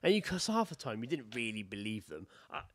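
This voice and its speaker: A man's voice: lowish voice, big pitch swings, a little fast, a bit bright. A British man co-hosting a podcast, bantering casually and reading out stories in a blunt, sweary, laddish way. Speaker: podcast co-host.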